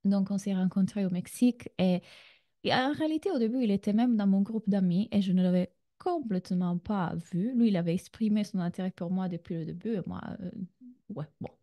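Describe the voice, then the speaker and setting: Italian-accented woman, very soft mellow voice. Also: mid-range voice, brisk. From Italy. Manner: addresses listeners directly with checking questions. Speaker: relationship coach, masterclass host. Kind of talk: conversational online masterclass talk.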